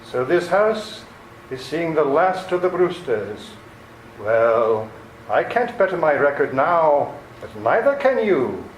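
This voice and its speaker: A man's voice: a little high, very animated, takes it slowly, slight background noise. A man playing a bitter, smugly menacing killer in an old-time radio comedy, recorded in a roomy space. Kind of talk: 1940s radio comedy acting.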